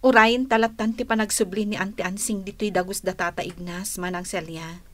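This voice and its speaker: A woman acting a gentle, well-bred young lady in a radio drama, soft and polite. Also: medium pitch, steady tone, moderate pace, close to an everyday voice. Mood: gentle, hopeful.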